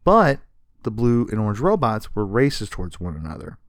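A man's voice: on the low side, very animated, a little fast, dry recording, studio quality. A man co-hosting a podcast, telling history in a casual, emphatic way with a sarcastic streak and some swearing. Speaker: podcast co-host. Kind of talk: casual storytelling about comics history.

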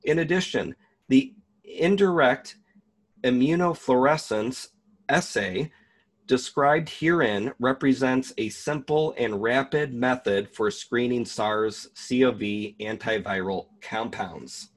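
A man hosting an online video, reading a document aloud and commenting in a deliberate, emphatic way. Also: medium pitch.

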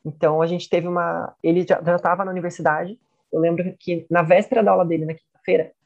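A woman student recounting her university experience in a measured way, often checking in with tag questions. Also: fairly low pitch, little pitch movement, vocal fry, muffled recording. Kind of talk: testimonial interview.

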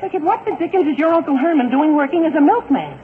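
A man's voice: very high-pitched, animated, fast. An actor playing an exasperated, whiny teenage boy, anxious and flustered, in a 1940s radio comedy. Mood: puzzled. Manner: whiny, flustered, exclaiming in boyish slang. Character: exasperated, fretful teenage boy, anxious about being late. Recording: scratchy, tinny 1940s radio broadcast, noisy.

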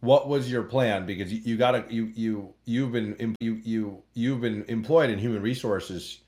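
A man hosts a podcast with a fairly low voice, easing into his questions with plenty of "you know" and false starts. He sounds friendly and casual, circling a question before finally landing on it. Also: moderate pace, a little mellow. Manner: rambling, many restarts and fillers, clears throat. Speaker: podcast host. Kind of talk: conversational interview.

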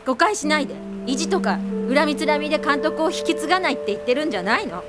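An actress in a radio drama playing a sarcastic, resentful middle-aged daughter who speaks bluntly and combatively. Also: female voice, high voice, expressive, fast, loud music behind the voice. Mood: angry, defiant. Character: sharp-tongued, resentful grown daughter, a screenwriter. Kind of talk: Japanese radio drama acting.

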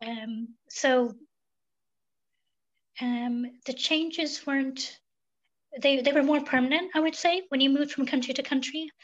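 A woman from Sweden, a podcast guest, talking thoughtfully and pausing with many fillers. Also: high-pitched, dull audio. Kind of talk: reflective interview talk.

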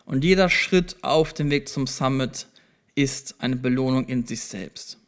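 Man, podcast host, mid-pitched voice, conversational, explains things step by step. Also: unhurried, clear, resonant tone. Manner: addresses the listener directly, explaining step by step; conversational with small restarts. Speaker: podcast host on self-improvement. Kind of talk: solo motivational podcast talk.

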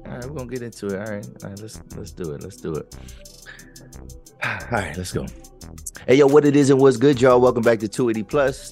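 A man from central Pennsylvania hosting a casual interview podcast, talking in loose, rambling sentences full of fillers and restarts. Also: lowish voice. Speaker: podcast host.

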